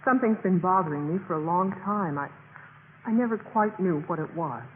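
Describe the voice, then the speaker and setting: An actress playing an earnest, principled young sorority pledge in a 1950s radio drama, speaking emphatically. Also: female voice, on the low side, expressive, a little fast, close to an everyday voice. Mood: resolute, earnest. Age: young adult. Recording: muffled, noisy 1950s radio recording, reverberant.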